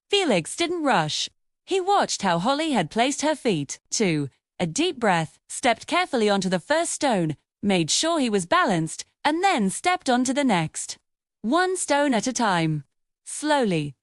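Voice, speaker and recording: Lively, high-voiced woman telling a children's story. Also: dry recording, clean studio sound. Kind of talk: scripted narration of a children's moral story.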